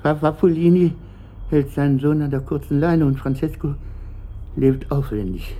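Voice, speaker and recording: An actor playing a bitter, uneasy middle-aged company director answering questions haltingly in a German radio play. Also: male voice, on the high side, dark tone. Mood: resigned. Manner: hesitant, evasive, halting.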